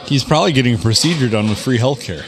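A mid-pitched man jokes goofily at his own expense. He sounds loose and casual. A busy room full of chatter carries on behind him. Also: lively intonation, fast talker. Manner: goofy, self-deprecating. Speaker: podcast co-host. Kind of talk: casual banter.

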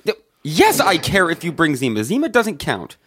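A man's voice: fairly high pitch, huge pitch swings, normal speed, a bit bright, husky, dry recording, clean studio sound. A man voicing a comic podcast promo, flustered as he keeps getting interrupted.

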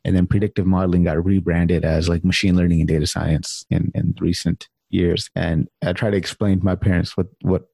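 A man's voice: sits low, little pitch movement, a little fast, creaky, few pauses, dark tone, husky, no echo. A man hosting a podcast, talking in a laid-back, blunt way and swearing casually. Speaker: podcast host.

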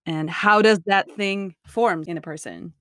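A woman's voice: medium pitch, unhurried, a bit bright, no room sound. A woman hosting an interview, speaking thoughtfully and hesitantly as she searches for words. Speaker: interviewer, host. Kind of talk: casual conversational interview.

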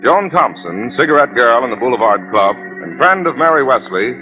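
A man's voice: mid-range voice, very animated, normal speed, low-quality recording. A man narrating a vintage radio drama as its announcer, formal and dramatic. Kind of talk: 1940s radio scripted narration. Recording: vintage 1940s radio recording. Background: music.

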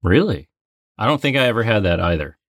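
A man co-hosting a podcast in casual, playful banter, with an excitable, mock-dramatic streak. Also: on the low side, brisk, no room sound, studio quality. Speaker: podcast co-host and trivia game player.

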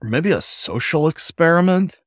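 A man's voice: fairly high pitch, lively intonation, normal speed, no room sound, studio quality, voice pushed up, close to an everyday voice. A man voicing a sardonic young male cacomistle store worker who teases and jokes. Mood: teasing. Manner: teasing, sarcastic.

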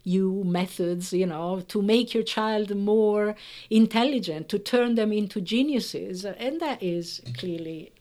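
A woman whose first language is Italian, a researcher explaining the benefits of bilingualism in an interview, speaking carefully with frequent pauses and fillers. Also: medium pitch, dark tone. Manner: measured, explanatory, hesitant fillers.

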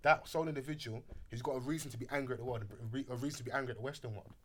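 A man's voice: medium pitch, very fast, fairly bright tone, breathy edge. A young man, chatting animatedly on a podcast and telling stories in slang. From Britain. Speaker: podcast host. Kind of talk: casual podcast chat.

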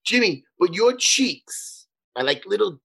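Podcast co-host, male: high, animated, husky, always joking. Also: unhurried. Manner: joking, teasing banter. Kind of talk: casual chat.

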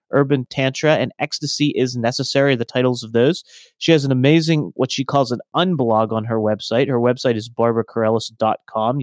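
A man's voice: mid-range voice, no room sound, clean studio sound. A man co-hosting a podcast, upbeat as he reads through the guest's credentials. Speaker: podcast co-host. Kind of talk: podcast introduction.

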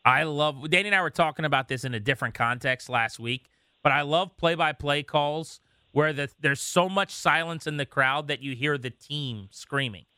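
A man's voice: a little high, moderate pace, pushed and bright, clear, resonant tone, no room sound, clean studio sound. A man hosting a sports radio show in a casual, conversational talk style.

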